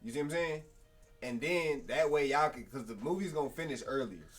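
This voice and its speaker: A man's voice: on the high side, expressive, brisk, distant mic, soft background music. A man co-hosting a live podcast in casual, slangy, profane chat, often repeating little tag phrases. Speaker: live stream podcast co-host. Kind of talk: casual chat with friends about video games.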